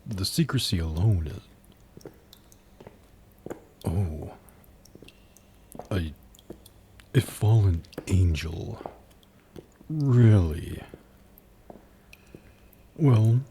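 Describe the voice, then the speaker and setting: A man playing a suave, haughty demon buyer in an ASMR roleplay, speaking in a low, husky, gravelly voice with teasing, sardonic pauses. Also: mellow, a little hiss or hum, exaggerated voice. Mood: intrigued, cool. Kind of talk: ASMR roleplay audio drama.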